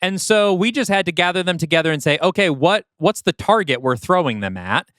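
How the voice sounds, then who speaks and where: Animated, smooth-voiced man podcasting. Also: sits high, fairly quick, dry recording, clean studio sound. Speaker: podcast co-host. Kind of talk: casual chat about writing a movie script.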